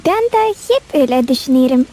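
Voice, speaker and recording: A woman's voice: high-pitched, big pitch swings, fast, dark tone. A woman voicing a curious, studious and polite young cartoon girl with a Gagauz accent. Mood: respectful. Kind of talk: animated cartoon dialogue.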